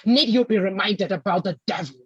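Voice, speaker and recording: A woman performing a spoken word poem with a slow, measured, solemn delivery. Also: mid-range voice, slightly rough, no room sound, dull audio.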